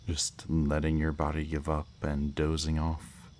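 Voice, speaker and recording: Man acting a very deep, smug kidnapper villain. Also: completely flat delivery, a little mellow. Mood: teasing. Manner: slow, teasing, mock-courteous, lingering over words. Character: smug male kidnapper villain, politely menacing and playful. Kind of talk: intimate ASMR audio roleplay, addressing the listener. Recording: faint background noise, chirping night crickets.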